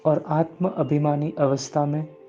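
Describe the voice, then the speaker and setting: A man leading a guided meditation in slow, measured phrases with long pauses between them. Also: on the high side, level tone, warm tone. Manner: repeats some phrases in English. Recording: dull lo-fi sound, music bed. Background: background music.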